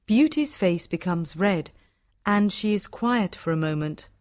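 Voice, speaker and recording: Unhurried woman narrating a fairytale. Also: mid-range voice, animated, dry recording, clean studio sound. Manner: measured, simple short phrases. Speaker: storyteller. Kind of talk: scripted fairytale narration for English learners.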